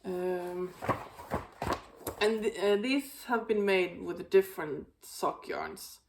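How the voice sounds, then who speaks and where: A woman hosting a knitting video, chatting casually about her projects, with a slightly raspy voice and a rambling, filler-heavy way of talking. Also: on the low side, slow, darkish tone, a little hiss or hum. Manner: rambling, many fillers and restarts. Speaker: knitting podcast host.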